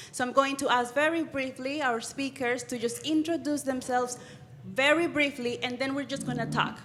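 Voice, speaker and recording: A woman's voice: a little high, fairly bright tone, slight background noise. A woman moderating a panel, introducing it informally to a live room. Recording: echoey hall, amplified from stage.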